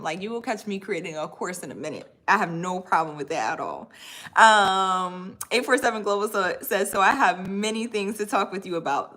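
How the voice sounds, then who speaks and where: A woman's voice: medium pitch, pushed and bright. A woman in her thirties hosting a casual livestream, reading viewer comments and talking about online courses in a friendly, upbeat way. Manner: friendly, upbeat, says y'all and right often. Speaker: livestream host and course coach.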